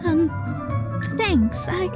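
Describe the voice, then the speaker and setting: A woman's voice: extremely high pitch, lively intonation, very slow, music in the background, exaggerated voice. A woman voicing a proud, easily flustered young female astrologist in a video game, with a dramatic, haughty manner.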